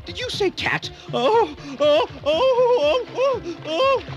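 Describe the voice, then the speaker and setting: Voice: extremely high pitch, very animated, takes it slowly, highs cut off, over music, full character voice. An actor voicing a big male cartoon mouse, snobbish and nervous, with an affected, condescending manner. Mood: panicked. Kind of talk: cartoon voice acting.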